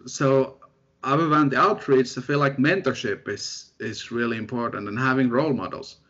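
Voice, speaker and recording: A young man from Finland, a researcher, chatting in an interview in a relaxed, self-deprecating way with lots of fillers. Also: medium pitch, moderate pace. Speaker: guest researcher. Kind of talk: casual interview chat. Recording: dull lo-fi sound.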